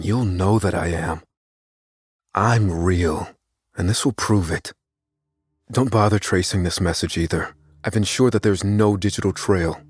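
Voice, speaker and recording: A secretive, unassuming young computer whiz with a low, husky voice. He confesses in a guarded, matter-of-fact and deliberate way, as in an audio drama, with music underneath. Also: dry recording, clean studio sound. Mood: guarded, insistent. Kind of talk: dramatic first-person audio fiction narration.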